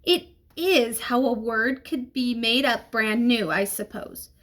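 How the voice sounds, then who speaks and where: A woman's voice: a little high, fairly bright tone. A woman as a stern, formal female schoolteacher. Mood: measured, firm. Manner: formal, measured, admonishing.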